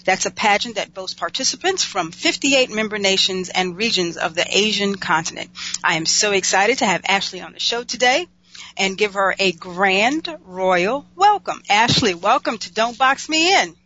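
A woman's voice: mid-range voice, animated, moderate pace, bright, forceful tone, highs cut off. A woman hosting an interview show, chatty and enthusiastic, often repeating her words. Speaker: talk show host.

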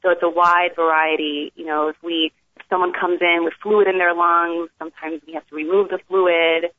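A woman's voice: on the low side, level tone, moderate pace, slight background noise. A young woman from the Bronx, an emergency room doctor, explaining her work as a guest on a talk show. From the Bronx, New York. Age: young adult. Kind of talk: phone interview. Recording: tinny phone line, dry.

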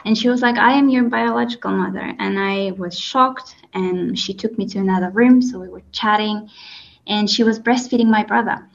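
A woman's voice: mid-range voice, gravelly, lo-fi sound. A woman in her twenties from Kazakhstan, a guest telling a personal story earnestly, with hesitations and fillers. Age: 20s. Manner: earnest, halting, with frequent fillers.

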